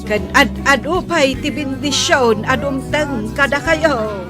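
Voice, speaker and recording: A woman's voice: high-pitched, slow. A woman playing a loving, joyful mother in a radio drama, emotive, with an Ilocano accent. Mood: joyful, tender. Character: loving, joyful mother giving her blessing. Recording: loud music over the voice.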